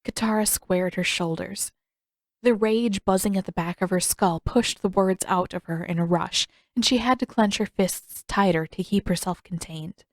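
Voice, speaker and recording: A woman's voice: medium pitch, moderate pace, dry recording. Woman narrating fiction, close and dry. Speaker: audiobook narrator.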